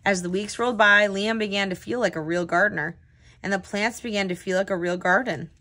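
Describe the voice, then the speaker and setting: A woman's voice: mid-range voice, steady tone, brisk, bright, forceful tone. Woman reading a children's storybook aloud. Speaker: tutor hosting a children's read-aloud.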